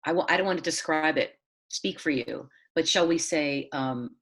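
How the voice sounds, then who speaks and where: A woman from Southern California, a playwright, musing and hedging in a relaxed chat on a video call. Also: low voice, moderate pace, slightly rough. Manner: musing, hedging, lots of fillers and restarts. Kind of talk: casual conversation over video call.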